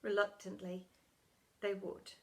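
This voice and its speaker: A woman reading a children's storybook aloud as expressive narration, with dramatic, emphatic storytelling. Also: mid-range voice, slow pace. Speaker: primary school story reader.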